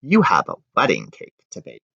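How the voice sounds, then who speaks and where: A man voicing a bossy, idle baker father in a picture book, giving orders in a drawn-out way. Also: fairly low pitch, wildly swooping pitch, vocal fry, pushed and bright, slightly rough, full character voice. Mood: dismissive. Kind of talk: children's picture-book character voice. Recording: dull, roomy home recording.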